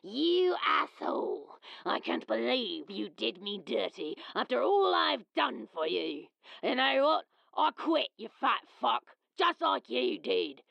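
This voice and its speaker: A woman acts out a bitter, scornful man, an agent in an audiobook. The voice is extremely creaky and gravelly, swinging widely as it drags out each venomous phrase. It sounds slightly muffled, like a voicemail. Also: a little high, very animated, slow pace, no echo, heavily acted. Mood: furious, bitter. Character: bitter, furious male talent agent leaving an abusive voicemail. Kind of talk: audiobook character acting.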